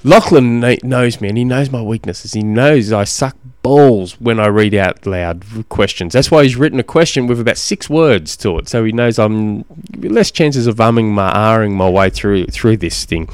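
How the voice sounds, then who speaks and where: A man hosting a casual solo podcast, slightly hoarse from a cold, rambling with many ums and restarts. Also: lowish voice, moderate pace, few pauses, no echo, clean studio sound. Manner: rambling, self-deprecating, lots of ums and restarts. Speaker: podcast host and cycling coach.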